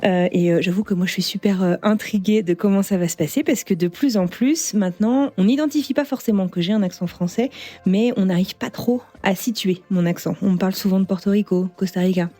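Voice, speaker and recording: A woman's voice: mid-range voice, fairly quick, dark tone. A woman hosting a podcast interview, asking curious questions in casual chat. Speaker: podcast host.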